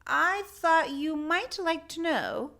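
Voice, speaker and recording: A woman's voice: very high-pitched, animated, takes it slowly, vocal fry, bright, forceful tone, pitched above the performer's own voice, exaggerated voice. A woman voicing a fussy, rule-bound male library assistant in a children's storybook, officious and given to exclaiming. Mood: pleased.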